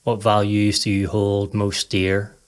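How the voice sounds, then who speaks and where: A man narrating a scripted guided meditation in a calm, measured, instructive way. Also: fairly low pitch. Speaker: podcast host and meditation guide.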